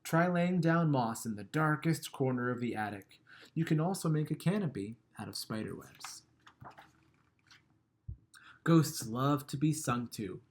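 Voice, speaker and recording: A man reading a children's picture book aloud, gentle and expressive, letting line endings trail off softly and chuckling at the funny bits. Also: mid-range voice, measured pace, long pauses.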